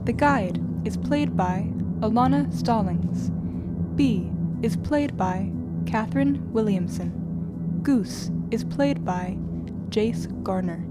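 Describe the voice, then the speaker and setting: Woman acting slow, polite AI guide. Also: on the high side. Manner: measured, formal, courteous. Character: polite, formal female AI guide system. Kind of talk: audio drama announcer, scripted.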